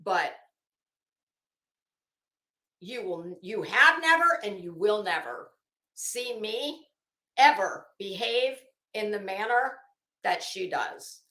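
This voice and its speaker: A woman's voice: medium pitch, lively intonation, slow pace, long pauses, sharp tone, reverberant. A woman giving opinionated, casual live commentary as a host, blunt, combative and emphatic, with free swearing.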